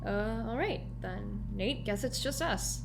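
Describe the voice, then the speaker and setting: A woman's voice: medium pitch, animated, slow, vocal fry, over music. A woman playing an easygoing, outspoken young office worker in an audio drama, speaking casually and expressively with a teasing edge. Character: Chris, outspoken, easygoing young female lab coworker.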